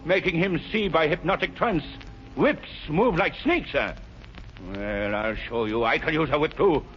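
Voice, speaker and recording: An actor playing a menacing, domineering spy villain who sneers and barks orders in a 1930s radio drama. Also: male voice, a little high, expressive, a little slow, faint music behind. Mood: angry, sneering. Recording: dull, hissy 1930s radio recording.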